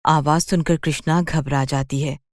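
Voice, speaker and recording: A woman's voice: low voice, steady tone, moderate pace, no echo, studio quality. A woman narrating a scripted children's story as a storyteller.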